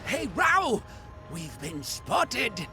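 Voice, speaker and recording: A man playing a fussy, excitable but loyal demon sidekick in a video game, prim and easily flustered. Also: sits high, very slow, over music, heavily acted. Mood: alarmed.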